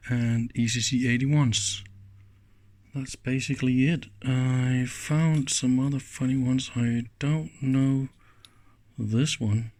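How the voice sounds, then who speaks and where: Slow-paced man musing over electronics. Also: mid-range voice. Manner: musing aloud with short exclamations. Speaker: electronics hobbyist presenting a teardown. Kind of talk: casual unscripted hands-on commentary.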